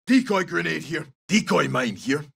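An actor playing a gruff, brash Scottish special forces soldier in a military shooter game, giving clipped, urgent tactical callouts in a rough, gravelly voice. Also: male voice, on the high side, lively intonation, moderate pace, a bit bright, recorded from a distance, full character voice. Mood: terse, focused.

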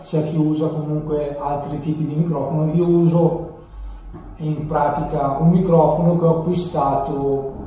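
Male presenter, 30s, mellow and halting, explaining things casually; very roomy, distant sound. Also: on the high side. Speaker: motovlogger presenting a tutorial. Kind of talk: casual unscripted tutorial talk. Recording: echoey room, distant camera mic.

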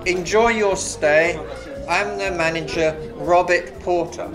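A man's voice: high voice, steady tone, slow pace, low-quality recording. A man playing a courteous, formal hotel manager in an amateur radio play. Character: polite, obliging male hotel manager. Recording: music playing loudly over the voice.

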